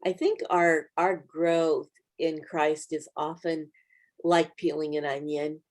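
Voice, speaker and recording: Lower-pitched woman, reflective Bible study host. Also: little pitch movement, slow, darkish tone. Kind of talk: conversational small-group teaching.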